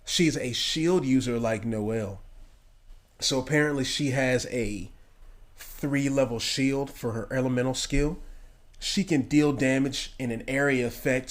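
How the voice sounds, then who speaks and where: A man giving casual game commentary, informal and thinking aloud as he goes. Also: medium pitch. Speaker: gaming video creator.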